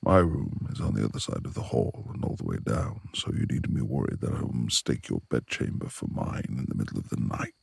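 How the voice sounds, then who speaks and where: Male actor as brooding beast-like nobleman, intensely gravelly fry, lively intonation, old-fashioned courtly manner, quick-tempered, husky, romantic ASMR roleplay. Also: rumbling low, no echo, studio quality, full character voice. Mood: courteous, teasing. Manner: formal old-fashioned gentlemanly phrasing.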